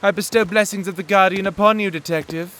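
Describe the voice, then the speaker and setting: A man's voice: high voice, steady tone, quick pace, a bit bright, slight background noise. A man acting as a smug, flirtatious criminal prince in an audio drama, with a teasing, theatrical drawl. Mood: haughty.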